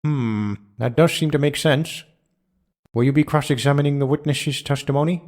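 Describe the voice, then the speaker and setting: A man's voice: on the high side, steady tone, moderate pace, a little mellow, natural voice. Man playing a formal, authoritative courtroom judge. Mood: considering. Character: solemn, mildly bewildered male courtroom judge. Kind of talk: video game courtroom dialogue. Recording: faint music behind the voice.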